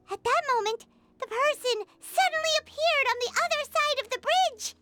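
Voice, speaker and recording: A woman voicing a small, excitable fairy companion in a game, squeaky, childlike and dramatic in delivery. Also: full character voice. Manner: eager, dramatic. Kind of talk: animated game dialogue and storybook narration.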